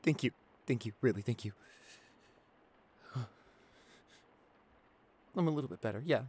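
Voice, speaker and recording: A man acts out a shy young boyfriend in an intimate audio roleplay. He is anxious and soft-spoken, stammering and hesitating as he talks, with a medium-pitched voice. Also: lively intonation, a little slow, husky. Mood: shaky, grateful. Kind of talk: boyfriend ASMR roleplay acting.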